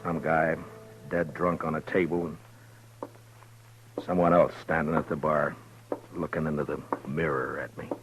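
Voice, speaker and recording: An actor playing a stern, restrained frontier marshal who also narrates, in a 1950s radio drama. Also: male voice, very deep voice, soft background music, low-quality recording. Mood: narrating. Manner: calm, measured narration.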